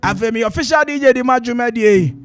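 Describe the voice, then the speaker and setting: A Ghanaian man hosts a radio drive show with a high, bright voice. He talks in a sing-song, playful way and laughs often. Faint music plays under his voice. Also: racing. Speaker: radio host. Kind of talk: lively radio banter, mixing Twi and English. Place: radio studio.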